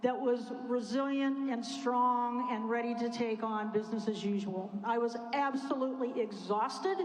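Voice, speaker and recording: High-voiced retired woman in echoey hall. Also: moderate pace. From Iowa. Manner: animated storyteller, acts out quotes. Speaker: panel speaker. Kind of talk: personal story at a public event. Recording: echoey hall with long reverb.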